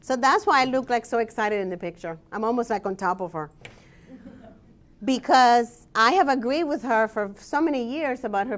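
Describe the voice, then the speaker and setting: A woman scholar and researcher giving a presentation, explaining in a measured way with frequent "um" pauses. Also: medium pitch. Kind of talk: presentation talk with slides.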